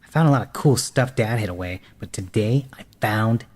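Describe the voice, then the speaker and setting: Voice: medium pitch, normal speed, background noise, natural voice. A performer playing a bossy, know-it-all boy, the older brother in an audio drama. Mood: boastful. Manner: snide, bossy. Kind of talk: audio horror-comedy drama.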